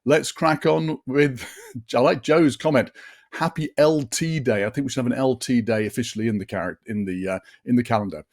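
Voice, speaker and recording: A man in his sixties presenting a live online session, chatty and upbeat with frequent fillers and restarts, his voice a little deeper than usual after a late night. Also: moderate pace, a little mellow.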